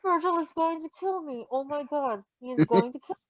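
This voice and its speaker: A woman with a fairly high, lively voice reads a story aloud and drops in gushing asides. Her delivery is very expressive and animated. The recording is close and dry but a little dull and lo-fi. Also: normal speed, no room sound. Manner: expressive, gushing, giggly reactions. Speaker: story reader. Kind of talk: animated read-aloud of fan fiction with casual asides.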